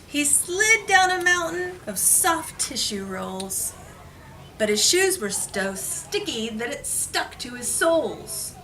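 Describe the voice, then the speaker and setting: A woman teacher giving an animated, expressive children's read-aloud, pausing to explain things, recorded from a distance in a classroom. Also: high-pitched. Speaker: elementary school teacher reading aloud. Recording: noisy classroom, distant mic.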